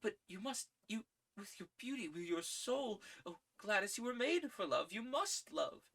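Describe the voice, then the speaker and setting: A woman's voice: mid-range voice, very animated, normal speed, a bit bright, husky, voice pushed up, heavily acted. A reader doing an eager, stammering young man. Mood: pleading. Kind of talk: audiobook character reading.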